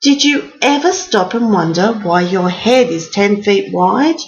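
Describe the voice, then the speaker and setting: A woman's voice: mid-range voice, animated, a little slow, highs cut off. Storybook peasant sorceress, read by a woman: blunt, unimpressed, scolding, taking her time. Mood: exasperated. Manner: blunt, matter-of-fact scolding.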